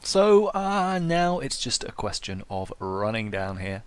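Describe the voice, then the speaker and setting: A man's voice: lowish voice, very animated, slow, clear, resonant tone. A man giving casual gameplay commentary as a video host, wry, understated and self-deprecating.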